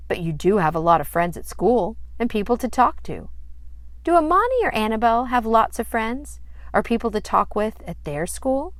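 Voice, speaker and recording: A gentle, attentive father read by a woman in a children's storybook. The delivery is patient and reassuring, explaining things and posing questions to a young child, in a close dry recording. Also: a little high, very animated, normal speed, no room sound, pitched above the performer's own voice. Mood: gently questioning.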